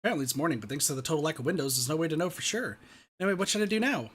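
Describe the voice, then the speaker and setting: A man's voice: a little high, lively intonation, racing, fairly bright tone, husky. A man reading visual novel narration aloud as an ordinary, earnest teenage schoolboy thinking to himself. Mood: thoughtful.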